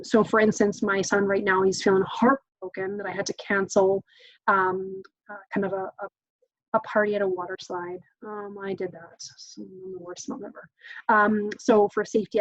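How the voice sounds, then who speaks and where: A woman's voice: mid-range voice, dry recording. Woman, casual workshop presenter, lots of "um"s, dry and slightly muffled sound. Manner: chatty, acts out parent-child exchanges, frequent "um"s. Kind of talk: informal teaching talk.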